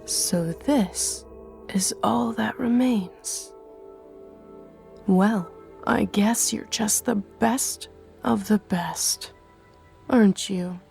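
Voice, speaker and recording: Female Grim Reaper played by an actress: imperious, cutting, unhurried delivery, audio drama with prominent music underneath. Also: mid-range voice, vocal fry, darkish tone. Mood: cold, sardonic. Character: menacing Grim Reaper goddess, commanding and coldly questioning.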